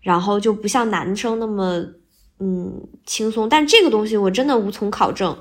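A woman's voice: medium pitch, normal speed. A woman guest on a podcast, a voice actor, chatting casually in a candid, self-effacing way. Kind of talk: casual interview chat.

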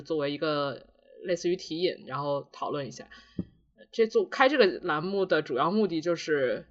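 A man co-hosting a podcast in casual chat, with a self-mocking, giggly manner. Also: on the high side. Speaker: podcast co-host. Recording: slightly dull, lo-fi podcast recording.

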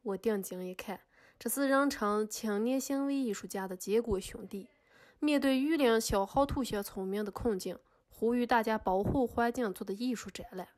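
Woman playing indignant lady accuser in a podcast court drama, highish voice, scolding n sarcastic. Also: normal speed, a little mellow, natural voice. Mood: narrating. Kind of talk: scripted podcast drama monologue.

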